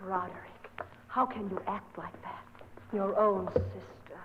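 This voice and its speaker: A woman's voice: medium pitch, a little slow, natural voice. An actress playing an anxious, pleading young lady with breathless melodrama, in old-time radio horror style. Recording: muffled echoing radio recording, loud noise.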